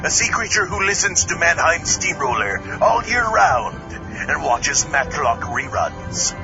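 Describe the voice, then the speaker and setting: Comic radio play: man as exasperated drunken captain, highish voice with big melodramatic swings. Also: big pitch swings, a little slow, dull audio, music in the background. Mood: ominous exasperation. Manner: exasperated, theatrical. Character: grumpy, boozy, melodramatic old-style sea captain. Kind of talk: comic audio drama acting.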